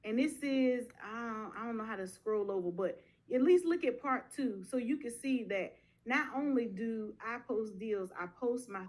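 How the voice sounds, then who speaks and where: A woman coach speaks straight to a livestream audience, punchy and direct. Her voice sits a little high, and she keeps the pitch casual and conversational. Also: expressive, moderate pace, few pauses, darkish tone. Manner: direct, punchy, says "y'all". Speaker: guest speaker, mobile home investing coach.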